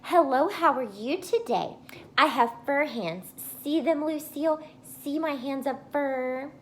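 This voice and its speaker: A woman voicing an excitable, boastful little schoolgirl in a children's storybook, with childish grammar and gushing emphasis. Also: high voice, a little slow, pitched above the performer's own voice. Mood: gleeful showing off. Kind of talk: first-person children's storybook read-aloud.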